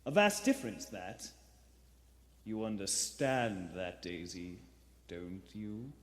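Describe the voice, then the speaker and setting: A man's voice: on the low side, animated. A man acting in a live vintage radio play as a secretive, pious lodger who preaches with menace and indignation. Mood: eerily pious. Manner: slow, sanctimonious, erupting into indignation. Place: theater stage before a live audience. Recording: hall reverb of a live theater.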